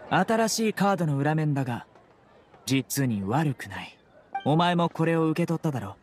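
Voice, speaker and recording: A man's voice: a little high, lively intonation, moderate pace, soft background music, close to an everyday voice. A man voicing a stern, serious and terse young male judge in a Japanese anime game. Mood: serious, composed.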